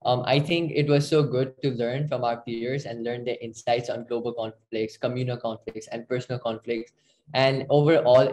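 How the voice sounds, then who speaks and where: A man's voice: medium pitch, a little mellow, rough recording. A young man from Nepal, a student panelist giving an earnest answer in a discussion. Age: young adult.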